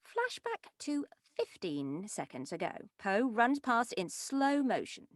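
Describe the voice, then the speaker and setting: A woman narrates the action of a cartoon script from the page. Her mid-pitched voice moves around a lot in tone. The recording is close and dry. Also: huge pitch swings, takes it slowly, no room sound. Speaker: stage-direction reader. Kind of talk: scripted narration at a table read.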